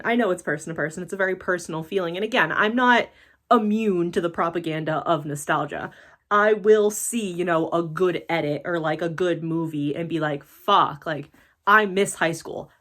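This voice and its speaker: A woman's voice: mid-range voice, fairly bright tone. A woman about to turn thirty, from the United States, chatting in a rambling casual vlog with lots of fillers and self-deprecating laughs. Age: late 20s. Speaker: YouTuber.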